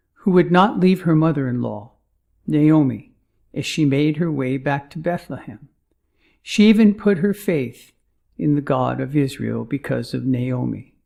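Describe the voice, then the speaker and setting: A man narrating a scripted Bible lesson as author's notes, reading names and quotations with deliberate emphasis. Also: fairly high pitch, creaky, warm tone.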